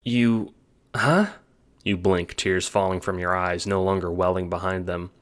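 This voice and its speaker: A man's voice: sits low, completely flat delivery, normal speed, clear, resonant tone. A man reading scripted second-person story narration, as the narrator of an audio drama.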